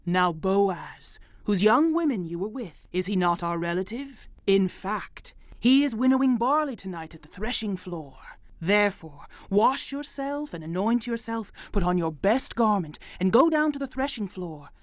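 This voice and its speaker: A woman's voice: mid-range voice, animated, normal speed, no room sound, lightly acted. A woman as a caring older mother-in-law. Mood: gentle. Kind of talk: dramatized audio Bible reading.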